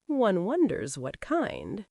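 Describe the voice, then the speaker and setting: A woman voicing a nosy, scheming small-town churchwoman with pointed, drawn-out delivery in a Western audio drama. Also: mid-range voice, huge pitch swings, gravelly, a little mellow, dry recording, studio quality, exaggerated voice. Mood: knowing.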